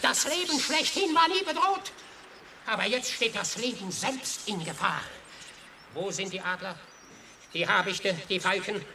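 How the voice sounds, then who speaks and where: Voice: medium pitch, lively intonation, measured pace, music in the background. Distant, solemn animal prosecutor. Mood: mournful, accusing. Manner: solemn, declamatory, rhetorical oratory. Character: grave animal prosecutor accusing mankind before an animal tribunal. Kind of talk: radio play acting, formal courtroom speech. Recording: distant, noisy radio play sound.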